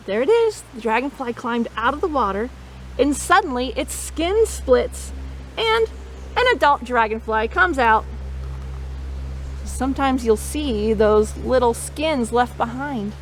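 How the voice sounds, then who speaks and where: Lady doing outdoor kids nature storytime, slow and super animated, bit of noise and rustling behind her. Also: high voice, low-quality recording. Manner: expressive, playful, asks listeners questions, imitates animal sounds. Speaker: storytime host, nature educator. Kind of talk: read-aloud children's nature storytime with asides.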